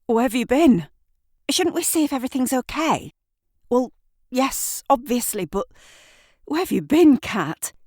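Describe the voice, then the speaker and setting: An anxious female neighbour acted out by a woman narrator demands answers in an audio drama. She is insistent and exclamatory, her pitch pushed well up with urgency. The recording is dry. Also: big pitch swings, unhurried, long pauses, darkish tone, no echo, heavily acted. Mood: urgent, anxious.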